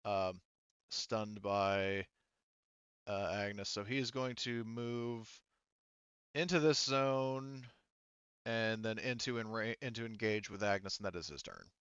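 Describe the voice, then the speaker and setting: A man's voice: lowish voice, slow pace, pauses often, fairly bright tone, clean tone, dry recording, lo-fi sound. A man running a tabletop roleplaying game as game master, talking casually and thinking aloud.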